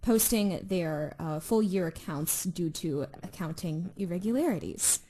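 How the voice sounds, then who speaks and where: A woman's voice: lowish voice, animated, unhurried, mellow, a little hiss or hum. A woman chatting casually as a podcast co-host, in an informal, slangy way with some swearing. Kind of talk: casual chat.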